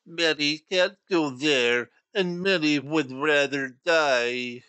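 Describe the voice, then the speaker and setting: A man voicing a polite middle-aged gentleman collecting for charity, formal and courteous. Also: a little high, takes it slowly, clean tone, dry recording. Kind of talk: storybook reading.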